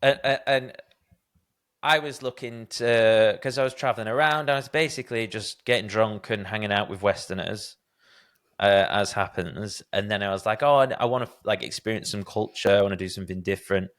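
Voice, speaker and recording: A man's voice: lowish voice, steady tone, brisk, a bit bright, clean tone. A man hosting a podcast interview, telling candid, self-deprecating stories in a casual conversational way. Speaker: podcast host.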